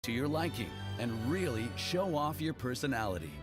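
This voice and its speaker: Lively male promo narrator. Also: medium pitch, normal speed. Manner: promotional, smoothly paced presentation. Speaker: showcase presenter. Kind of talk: scripted narration. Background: music playing.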